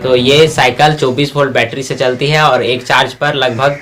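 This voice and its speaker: Mid-pitched man explaining, faint music. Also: fairly even intonation, moderate pace, fairly bright tone. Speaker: interviewee explaining a device. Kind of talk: explanatory interview answer. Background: faint background music.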